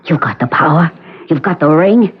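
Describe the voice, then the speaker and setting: Bitter, demanding wife on muffled radio. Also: female voice, deep voice, expressive, moderate pace, slightly rough, heavily acted. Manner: insistent, sardonic. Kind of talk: old-time radio drama acting. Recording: muffled old radio broadcast, noisy.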